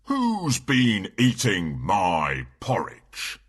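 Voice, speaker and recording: A man voicing a big, stern father bear with a husky voice in a storybook. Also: on the low side, wildly swooping pitch, extremely slow, exaggerated voice. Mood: indignant.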